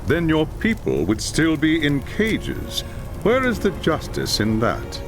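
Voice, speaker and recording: Slow, grave fantasy counsellor. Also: male voice, a little high, huge pitch swings, full character voice. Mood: stern caution. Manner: sententious, formal warnings. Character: grave, moralizing male counsellor in dark fantasy. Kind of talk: video game cutscene acting. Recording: loud music behind.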